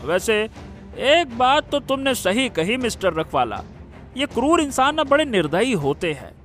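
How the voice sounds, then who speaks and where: High, slow, theatrical cartoon donkey played by a man. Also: very animated, fairly bright tone, faint music behind, heavily acted. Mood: scheming. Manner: drawn-out exclamations, theatrical patter. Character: cunning, comical talking donkey, cheeky and dramatic. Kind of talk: Hindi cartoon story acting.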